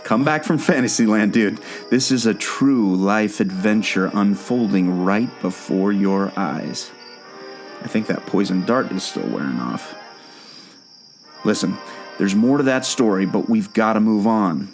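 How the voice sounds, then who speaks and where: A man's voice: fairly low pitch, animated, measured pace, soft background music. A man playing an eager, knowing explorer guide in a scripted audio drama, talking like an earnest storyteller. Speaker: co-host of a theme park podcast.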